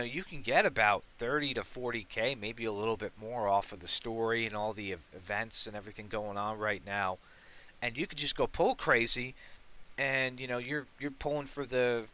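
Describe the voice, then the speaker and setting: A young man hosting a casual gaming podcast. He talks in an excitable, rambling way and swears casually. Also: medium pitch. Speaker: podcast host.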